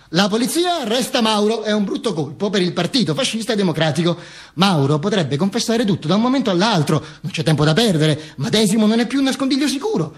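High male voice, theatrical dead dictator played by a man, ironic, emphatic, deliberate pauses, radio theatre monologue. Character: dead Mussolini telling his posthumous autobiography, theatrical and ironic.

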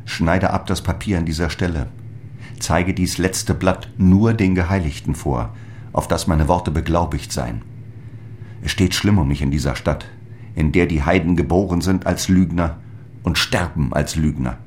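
Man playing a very deep-voiced solemn apostle. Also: normal speed, darkish tone, a little hiss or hum, close to an everyday voice. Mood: grave, urgent. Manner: grave, incantatory, declamatory. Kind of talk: literary radio drama monologue.

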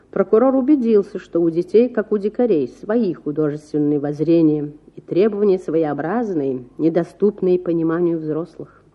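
A woman narrating a children's story from a script in an expressive audiobook style. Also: fairly low pitch, muffled recording.

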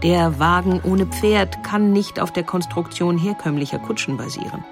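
A woman's voice: lowish voice, normal speed, music in the background. A woman reading a scripted documentary narration in a composed, carefully phrased way.

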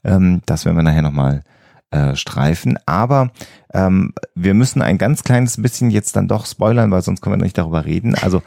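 A man's voice: sits low, expressive, normal speed, creaky, mellow, dry recording, studio quality. A man who explains things as a podcast host, often rephrasing himself as he goes.

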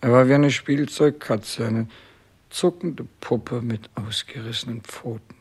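An actor in a 1960s German radio play playing a dying king who grieves, husky and gravelly, halting and mournful. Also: mid-range voice, fairly even intonation, darkish tone. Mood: mournful, bitter. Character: dying old king grieving for his lost cat.

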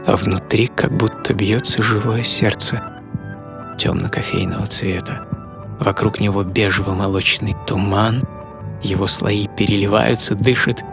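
A man acts a dreamy, passionate businessman telling his own story in an audio drama. His voice has a gravelly creak and lingers theatrically on words. Music plays behind him throughout. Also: on the low side, fairly even intonation, measured pace. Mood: dreamy wonder. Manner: theatrical, savouring, emphatic delivery. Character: dreamy, passionate American coffee businessman reminiscing. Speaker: author and narrator of a radio programme. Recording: studio voice over music.

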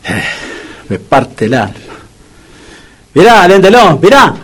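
An Argentine man playing a gloomy, soft-hearted dog owner in a comic radio drama, colloquial and repeating his words. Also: sits high, wildly swooping pitch, a little slow, slightly rough, heavily acted. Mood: mournful, resigned. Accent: Argentine Rioplatense. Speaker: radio comedy actor.

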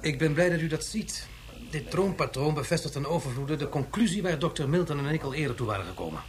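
A man playing a grave, principled scientist in a radio drama, formal, measured and emphatic. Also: on the high side, animated, slight background noise, natural voice. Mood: grave, deferential. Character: grave, principled male scientist warning his superior.

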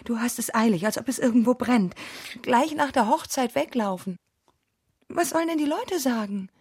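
An impulsive young married woman, naive and coquettish in love. Her is fairly high, with a husky, breathy edge. It is literary radio drama acting. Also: animated, moderate pace, a little mellow. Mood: anxious, devoted.

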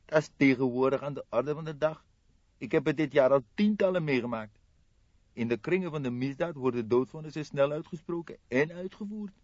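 Man voicing a high-pitched, resigned police technician. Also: fairly quick, a little mellow, no room sound, muffled recording, lightly acted. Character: weary, matter-of-fact male police fingerprint expert.

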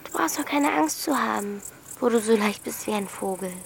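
A woman's voice: on the high side, animated, moderate pace, gravelly, a little hiss or hum. An actress playing a bold, bossy thirteen-year-old girl in a radio play, blunt and protective of her friend. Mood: urgent coaxing. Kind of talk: German radio play acting.

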